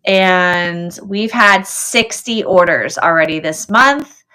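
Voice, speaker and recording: A woman hosts a casual live shopping stream in a slightly low voice with a bright, crisp edge. She talks directly to her viewers in a chatty way, responding to comments and working things out aloud. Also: slow pace. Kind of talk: casual livestream chat with viewers.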